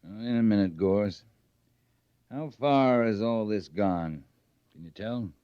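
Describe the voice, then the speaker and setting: Low-voiced, wry cavalry captain. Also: male voice, smooth, dry recording. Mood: businesslike. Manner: laconic, measured, dryly understated. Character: steady, wry, self-assured frontier cavalry captain. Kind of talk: old-time radio western acting. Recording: muffled vintage radio recording.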